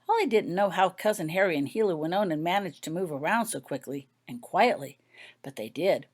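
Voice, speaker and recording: Woman reading her own story out loud, kinda low voice, really animated up and down. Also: brisk. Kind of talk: scripted audiobook narration.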